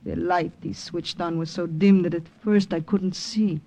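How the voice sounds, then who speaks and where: An actress playing a brave, wary young woman recruit in a 1940s wartime radio drama, with earnest, halting, dramatic delivery. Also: fairly low pitch, fairly even intonation, warm tone. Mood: tense narrating. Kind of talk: 1940s radio acting and first-person narration. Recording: old radio drama with music.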